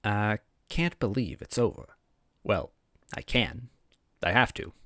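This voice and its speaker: A man's voice: lowish voice, slow, a bit bright, no room sound, studio quality. A man hosting a story read-aloud series, talking casually and narrating in the first person. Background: background music.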